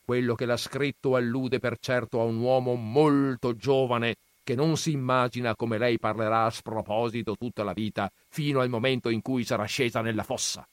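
A man reading aloud, voicing a stern old grandfather who questions his grandsons in a loud, commanding way. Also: medium pitch, fairly even intonation, normal speed, hardly any pauses, no echo, lightly acted.

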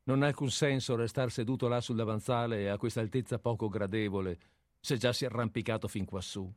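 A man acts out a composed gentleman in a radio play, receiving an intruder at night. He is courteous and measured, with a dry politeness. The voice is medium in pitch and closely recorded, without echo. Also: fairly even intonation, natural voice. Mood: calm, dry.

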